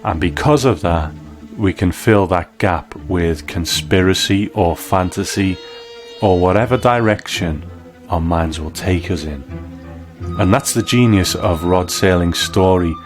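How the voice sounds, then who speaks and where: A man with a notably low voice presents a scripted commentary as host and reviewer. He explains with enthusiasm, and soft music runs faintly underneath. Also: unhurried. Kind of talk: scripted video essay commentary.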